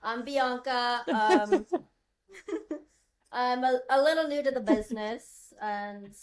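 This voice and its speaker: A woman's voice: on the high side, takes it slowly, smooth. A woman playing a shy, giggly young mob wife who is new to the business, in her own voice.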